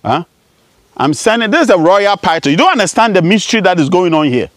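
A man's voice: high voice, sing-song, quick pace, a bit bright, no echo. A man in his forties from Ghana, a spiritualist, speaking outdoors to a camera in a declamatory, accusing address, often tossing in "huh?". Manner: declamatory, rising and falling sharply, repeating "huh?". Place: outdoors among bushes.

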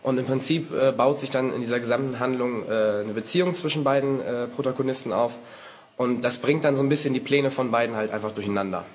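A man's voice: mid-range voice, fast talker. A young man, a student actor, explaining in an interview in a slightly hesitant way. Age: teenager or young adult. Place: school theatre stage, indoors. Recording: faint background noise, stage ambience.

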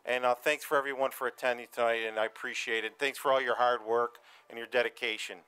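A man leads an official oath in a meeting room, reading each phrase in turn. His voice is smooth and clear, at a middle pitch. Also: steady tone, sharp tone, rough recording. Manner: measured, reads the oath phrase by phrase. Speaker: town official administering an oath. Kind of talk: formal oath, then short thanks.

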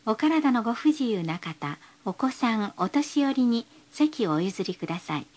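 A woman's voice: a little high, sing-song, moderate pace, a little mellow. A woman reading scripted bus stop announcements in a polite, formal, carefully enunciated way.

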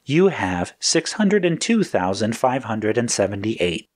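A man's voice: lowish voice, very animated. A man voicing a formal, unflappable computer. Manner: formal, precise, measured. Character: polite, formal, unflappable mission-control computer. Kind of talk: acted audio story dialogue.

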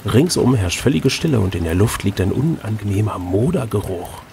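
Mann mit knarrender Stimme, der vorliest. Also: male voice, fairly low pitch, expressive, brisk, creaky, darkish tone, slight background noise. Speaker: host, reader of an adventure gamebook. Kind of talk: expressive book narration with casual chat.

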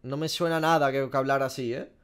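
A man's voice: mid-range voice, fairly quick, clean tone. A young man from Spain with a Spanish accent, reacting casually and swearing a lot while guessing game skins. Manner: swears often, animated, self-mocking. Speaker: gaming streamer. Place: small room indoors.

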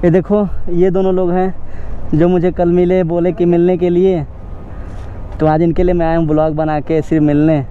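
A man's voice: high voice, flat delivery, moderate pace. A man narrating a casual vlog from a motorbike, talking directly to his viewers. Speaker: motovlogger, host. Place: outdoors on city streets, on a moving motorbike. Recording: thin phone-like sound, loud motorbike and street noise.

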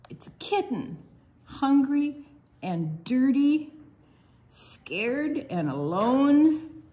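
A woman's voice: high-pitched, sing-song, extremely slow, warm tone. An older woman from Iowa, telling stories and reading picture books aloud to children. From Iowa, United States. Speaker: children's storytime presenter. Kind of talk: storytelling and picture-book read-aloud for children. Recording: faint background noise.

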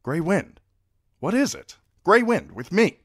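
A man's voice: sits low, expressive, unhurried, no echo, clean studio sound. A man voicing a young king who speaks firmly and gives commands. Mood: urgent. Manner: firm, commanding.

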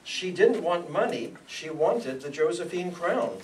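Man acting an animated, high-voiced anxious businessman. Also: measured pace. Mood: pleading. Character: anxious, scheming married businessman hiding an affair. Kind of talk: old-time radio acting before an audience. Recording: live stage pickup, distant, background noise.

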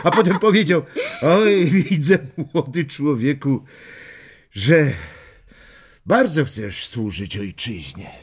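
A man playing an amused old grandfather telling stories to children in an audio drama, unhurried, chuckling and expressive. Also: a little high, background noise. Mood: amused, lively. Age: older. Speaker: storyteller.

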